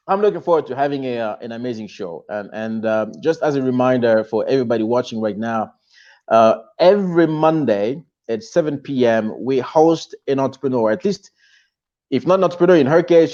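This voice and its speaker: A man's voice: medium pitch, a little mellow, muffled recording. A man from the DR Congo hosting a live-stream interview show, talking effusively with lots of restarts and fillers. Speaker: show host.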